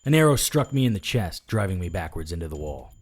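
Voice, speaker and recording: An actor playing a hard-boiled, wry supernatural investigator, narrating in first person in noir audio drama style. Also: male voice, sits low, big pitch swings, quick pace, very noisy. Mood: tense. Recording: music under the voice. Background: music.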